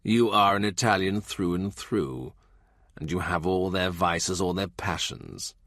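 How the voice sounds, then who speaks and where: A cold, quietly scornful statesman, voiced by a man reading aloud. He speaks in a soft, low, amused murmur, deep and hushed. The recording is dry and close. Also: measured pace, dry recording.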